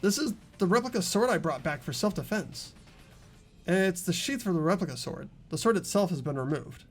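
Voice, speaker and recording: A man's voice: high-pitched, animated, normal speed, faint music behind. A man voicing an anxious, earnest teenage boy, the protagonist of a video game, narrating his thoughts dramatically. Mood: worried.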